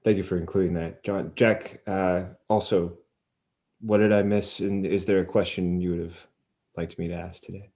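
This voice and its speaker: A man with a fairly low, soft-edged and mellow voice hosts an interview. He speaks conversationally and earnestly, with a humble touch. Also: little pitch movement, moderate pace. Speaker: interview host.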